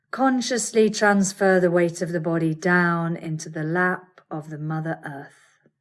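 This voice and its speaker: A woman teaching yoga nidra, guiding a meditation in a calm, soothing, deliberate way with pauses. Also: lowish voice. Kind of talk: guided meditation.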